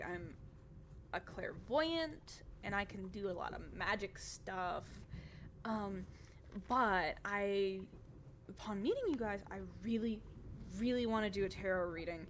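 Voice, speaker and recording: A woman's voice: medium pitch, lively intonation, a little hiss or hum, natural voice. A woman voicing Adela, a chatty, gushing female clairvoyant who is eager to please. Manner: gushing, talks in quick bursts.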